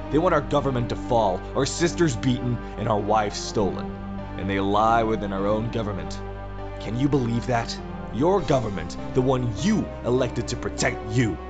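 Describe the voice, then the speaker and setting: An actor playing a zealous new president turned dictator, giving a solemn, oratorical speech in an audio drama. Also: male voice, medium pitch, lightly acted. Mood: accusing, resolute.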